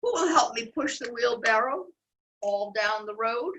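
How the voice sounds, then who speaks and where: A woman voicing a hardworking, determined little hen for a children's story, in an earnest, lively way. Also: fairly high pitch, measured pace.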